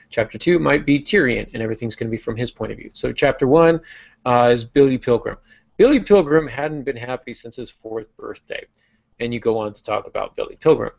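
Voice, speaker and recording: A man co-hosting a writing podcast, talking in a relaxed, chatty way with frequent restarts. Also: mid-range voice, vocal fry. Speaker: podcast co-host. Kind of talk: casual writing craft chat. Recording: dull lo-fi podcast audio.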